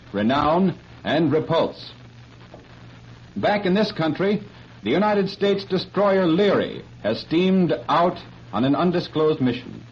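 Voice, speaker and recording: Brisk 1930s radio news announcer. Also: male voice, a little high. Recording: dull old radio broadcast with faint hiss.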